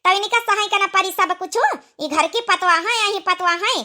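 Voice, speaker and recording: A woman's voice: extremely high pitch, normal speed, pushed and bright. A woman playing a domineering, grumbling older village mother-in-law in an Awadhi cartoon comedy, with an exclamatory manner. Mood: indignant. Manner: scolding, exclamatory.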